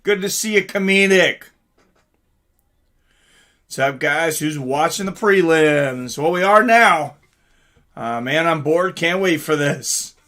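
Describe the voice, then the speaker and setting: A man with a fairly high, very bright and forward voice hosts a live reaction stream. He talks in a chatty, informal way, greeting viewers, reading out chat and giving casual fight commentary. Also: lively intonation, takes it slowly, pauses often.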